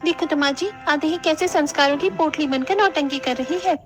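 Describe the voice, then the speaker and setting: A woman's voice: high voice, brisk, lightly acted. A woman voicing a vain, mocking, fashion-obsessed young daughter-in-law in a cartoon, with an affected manner. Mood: catty.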